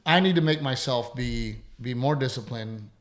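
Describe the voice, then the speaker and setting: A man's voice: medium pitch, normal speed. A middle-aged man, a comedian hosting a podcast, chatting casually in a rambling, profane, self-deprecating way. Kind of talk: casual chat.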